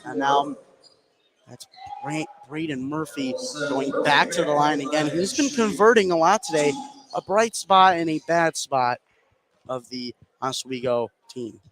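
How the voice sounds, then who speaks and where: A man's voice: fairly high pitch, sing-song, a little slow, a loud crowd. A man doing live basketball play-by-play on the radio, narrating the action in short running phrases. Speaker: play-by-play commentator. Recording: noisy live gym broadcast.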